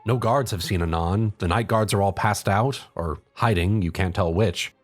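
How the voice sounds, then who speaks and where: Brief: female storybook-style narrator, very deep register, faint music underneath. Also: normal speed. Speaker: fan fiction narrator. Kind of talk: scripted second-person story narration.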